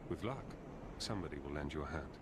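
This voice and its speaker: An actor playing a wry wandering adventurer in a dark fantasy video game, speaking in a low, measured way, heard faintly as game audio. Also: male voice, animated. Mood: sly. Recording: faint game audio under loud noise.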